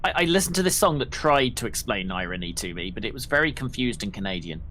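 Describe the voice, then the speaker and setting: A man's voice: lowish voice, quick pace, slight background noise. A man playing a tabletop role-playing game, speaking expressively and joking in his character's voice. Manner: comic, expressive. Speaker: player. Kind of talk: casual tabletop role-play.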